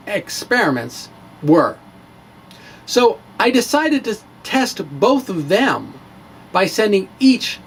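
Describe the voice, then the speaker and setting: Expressive high-pitched man reading dramatically. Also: slight background noise. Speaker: science blogger and presenter. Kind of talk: dramatic reading of a physics blog.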